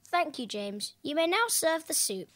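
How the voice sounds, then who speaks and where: A woman's voice: high voice, very animated, a little slow, a bit bright, dry recording, full character voice. A woman acting a gracious, commanding ninety-year-old lady in an amateur radio play, formal and genteel.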